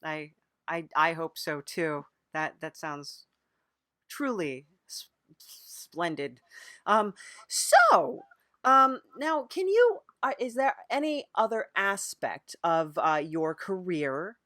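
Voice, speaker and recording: A woman hosting an improvised comedy interview podcast, talking in a hesitant, deadpan way. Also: fairly low pitch, slow, dry recording, studio quality. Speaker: podcast host.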